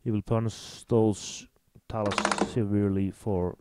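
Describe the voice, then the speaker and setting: A man's voice: on the low side, flat delivery, a little slow, very noisy. A man with an Icelandic accent giving match commentary on a tabletop miniatures game.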